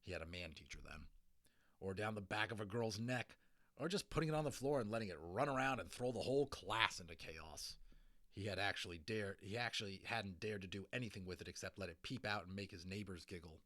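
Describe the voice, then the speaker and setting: A man reads a children's novel aloud as a dramatic narrator. His voice sits fairly low and is expressive, in a dry space with no room sound. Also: brisk, no room sound. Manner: expressive, storytelling. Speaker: audiobook narrator. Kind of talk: dramatic children's story reading with informal asides.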